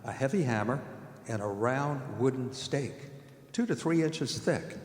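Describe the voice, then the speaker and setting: A man playing a haunted doctor who narrates a horror radio drama in a grave, measured way. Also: medium pitch, lively intonation, lightly acted. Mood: haunted, dread. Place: performing arts center stage. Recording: live hall recording with long reverb.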